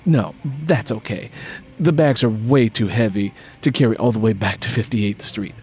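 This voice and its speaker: A man voicing a proud, sharp-tongued city woman in an audiobook, assertive and sassy. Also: on the low side, normal speed, breathy edge. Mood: direct, friendly.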